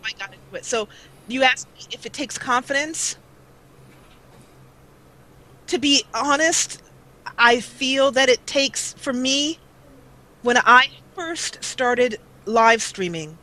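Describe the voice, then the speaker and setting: A woman's voice: high voice, lively intonation, slow, pushed and bright, rough recording. A woman in her forties, a guest let's player chatting casually in an interview, rambling with many fillers on an old taped-up mic. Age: 40s. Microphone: old duct-taped mic that cuts out.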